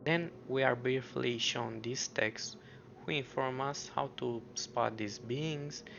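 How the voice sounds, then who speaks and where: A man's voice: medium pitch, slow pace. A man narrating an explanatory video commentary, mostly scripted with casual asides, on a muffled, noisy lo-fi recording. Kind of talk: explanatory commentary on a horror web series. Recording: muffled lo-fi audio with clear background noise.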